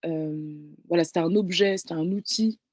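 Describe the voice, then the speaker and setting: A woman's voice: lowish voice, normal speed, darkish tone, no echo. A woman in her twenties from Paris, speaking casually and reflectively as a guest in a conversation. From Paris, France. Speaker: guest, professional handball player.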